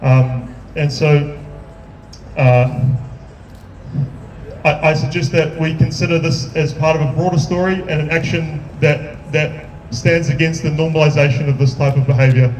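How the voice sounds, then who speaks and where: A man with a somewhat high voice delivers an earnest, building speech at an outdoor protest rally. He stumbles and repeats words as his conviction rises. He is picked up from a distance, with an echoey sound and faint noise around him. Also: fairly even intonation, a little slow. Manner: earnest, builds to raised intensity, repeats words when restarting. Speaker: activist speaker.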